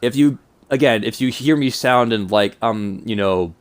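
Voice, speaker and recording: A man's voice: medium pitch, moderate pace, clear, resonant tone. A man of twenty-two with a mild cold and stuffed-up nose, talking casually and self-consciously in a hesitant, rambling vlog. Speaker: YouTuber.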